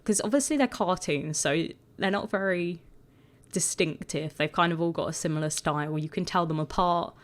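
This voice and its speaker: Woman, a bit croaky, lowish voice, rambly casual chat with lots of likes and ums. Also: normal speed. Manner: chatty and rambling, full of "like" and "um". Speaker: gaming video creator. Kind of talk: casual voice-over commentary.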